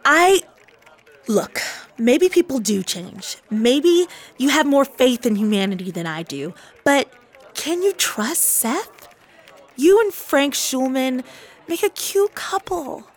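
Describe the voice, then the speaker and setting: High, slow-talking worried best friend, acted by a woman. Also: sing-song, heavily acted. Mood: pleading, gentle. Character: concerned, cautioning young female best friend. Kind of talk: radio drama acting.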